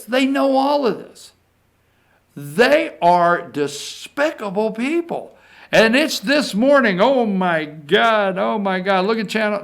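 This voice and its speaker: A man's voice: sits high, creaky. A man hosting a political talk show, giving off-the-cuff opinionated commentary in an exasperated, emphatic way, often repeating his phrases.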